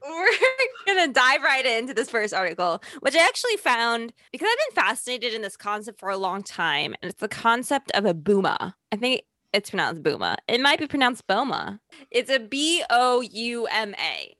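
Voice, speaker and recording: A woman's voice: a little high, very animated, moderate pace, sharp tone, no echo, clean studio sound. A woman with a Chicago accent co-hosting a podcast, explaining design topics with enthusiasm. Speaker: podcast co-host. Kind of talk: casual podcast chat.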